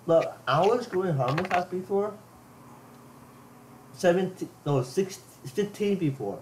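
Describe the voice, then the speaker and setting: Youngish guy gaming on voice chat, swingy pitch, keeps repeating, clear background noise. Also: lively intonation, unhurried, darkish tone. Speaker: gamer. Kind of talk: casual chat during online game. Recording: noisy game voice chat.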